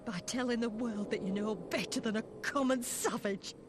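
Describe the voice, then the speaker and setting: A woman's voice: on the high side, normal speed, background noise, natural voice. A woman playing an anxious, pleading wife and mother in a video game cutscene. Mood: reproachful.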